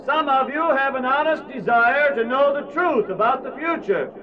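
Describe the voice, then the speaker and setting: An actor playing an earnest, fervent preacher warning of doom in a solemn, declamatory Bible radio drama. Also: male voice, extremely high pitch, moderate pace. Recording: muffled old cassette, distant, faint hiss.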